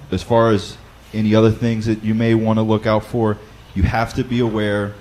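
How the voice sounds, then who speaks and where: A man with a loud voice who leads a Bible study, explaining practical advice in a conversational way with frequent fillers and restarts. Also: fairly low pitch, slight background noise, rough recording. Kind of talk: instructional talk.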